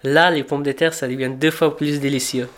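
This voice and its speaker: A man in his twenties from Afghanistan, a cook, telling his life story in a reflective, unhurried way, pausing and restarting as he searches for words. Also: fairly high pitch, fairly even intonation, fairly bright tone, a little hiss or hum. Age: 20s. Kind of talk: personal storytelling for a documentary portrait.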